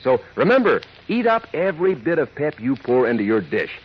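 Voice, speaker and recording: Animated male vintage radio pitchman. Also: brisk, breathy edge. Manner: upbeat, folksy sales patter. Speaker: commercial announcer. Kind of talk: 1940s radio cereal advertisement. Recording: muffled old radio recording.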